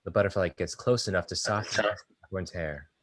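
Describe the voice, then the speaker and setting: A man's voice: low-pitched, fast talker. A man reading stage directions aloud in a plain, matter-of-fact way during a play table read.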